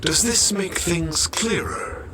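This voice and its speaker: An actor playing a solemn self-styled immortal sage in a video game, with pompous, archaic, measured speech. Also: male voice, high voice, pushed and bright, a little hiss or hum, full character voice. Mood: lofty, serene. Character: solemn masked mystic man posing as immortal sage.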